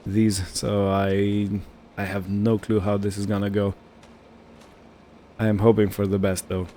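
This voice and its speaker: A man giving casual roleplay gameplay commentary, unhurried and thinking aloud as he goes. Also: on the low side, mellow, a little hiss or hum. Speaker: gaming video host.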